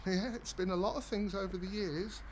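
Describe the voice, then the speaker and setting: A man's voice: high-pitched, fairly even intonation, moderate pace, slight background noise, poor audio. An actor playing a friendly English family man in a naturalistic audio drama, plain and practical in his talk. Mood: fond. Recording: loud background ambience.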